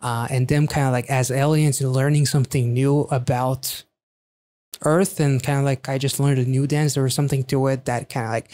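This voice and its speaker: A man in his thirties giving casual reaction commentary in a halting, thinking-aloud way, close on a studio microphone. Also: mid-range voice, mellow. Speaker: reaction video host. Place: small quiet indoor home studio.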